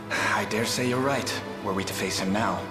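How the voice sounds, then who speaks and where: Guy voicing a noble earnest prince in a game, measured formal talk, echoey, big music behind. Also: lowish voice, close to an everyday voice. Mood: firm, calm. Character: noble, steadfast young prince leading a liberation army. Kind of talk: video game cutscene acting.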